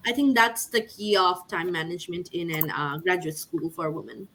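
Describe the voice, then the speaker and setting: A woman's voice: lowish voice, moderate pace, fairly bright tone, a little hiss or hum. A young woman from Nepal on a video call, answering panel questions earnestly with many fillers like "like" and "you know". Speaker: MBA graduate panelist.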